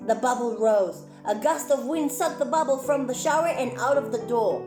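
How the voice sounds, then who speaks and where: A high-voiced woman tells a children's story in a roomy, echoing space with faint music behind her. She reads expressively, like a children's entertainer, and slips easily into chatty asides to her young audience. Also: normal speed. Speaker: children's storyteller presenting as a clown.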